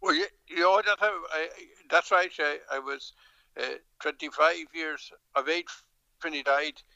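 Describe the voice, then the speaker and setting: A man's voice: a little high, steady tone, measured pace, gravelly, sharp tone, no echo, low-quality recording. A middle-aged Irish man, a politician, talking in a remote interview with frequent hesitations, restarts and a storytelling manner. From Dublin, Ireland.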